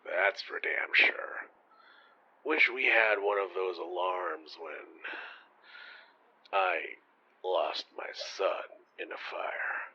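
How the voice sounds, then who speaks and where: A man playing a gentle, folksy old homeless man in an audio drama, speaking with courtly politeness. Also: low voice, slightly rough, lo-fi sound, heavily acted. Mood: somber, tender. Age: older. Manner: courtly, folksy, slow-spoken. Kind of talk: naturalistic audio drama acting.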